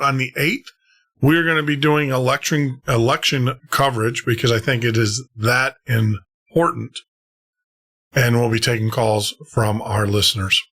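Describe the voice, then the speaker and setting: A man's voice: medium pitch, bright, forceful tone. Fields: Sex: man. Role: late-night podcast host. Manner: rambling, upbeat plugs. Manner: rambling and upbeat, repeating words and restarting phrases. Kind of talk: casual on-air sign-off with announcements.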